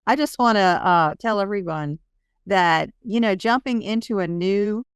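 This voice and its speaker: A woman's voice: mid-range voice, unhurried, smooth, no echo, clean studio sound. A woman speaking conversationally as the host of an interview podcast.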